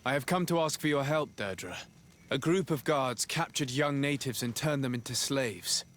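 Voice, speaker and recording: An actor playing a composed, decisive diplomatic envoy in a video game, speaking in a formal, measured way. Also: male voice, mid-range voice, a bit bright, slight background noise, lightly acted. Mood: earnest, resolute. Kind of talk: scripted video game acting.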